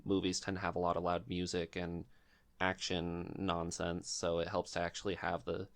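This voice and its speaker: A man's voice: low voice, monotone, moderate pace, vocal fry. A man hosting a casual interview, speaking in an accommodating, slightly hesitant way. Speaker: podcast host.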